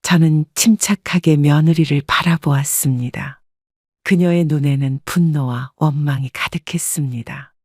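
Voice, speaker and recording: A woman narrating a scripted first-person family drama in a calm, even storytelling manner. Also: low-pitched, unhurried, no echo, clean studio sound.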